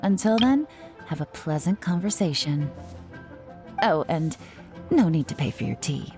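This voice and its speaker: An actress playing a cool, confident covert agent in a video game, with a wry, playful and self-assured manner. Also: female voice, sits low, sing-song, a little slow, a little mellow, faint music behind, heavily acted. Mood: teasing, confident.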